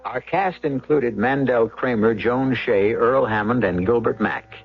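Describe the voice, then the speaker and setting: Gravelly, dramatic radio mystery host, a man. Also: medium pitch. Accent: American. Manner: portentous, rhetorical, deliberate pauses. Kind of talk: theatrical scripted radio narration. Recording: dull old radio broadcast, faint music behind.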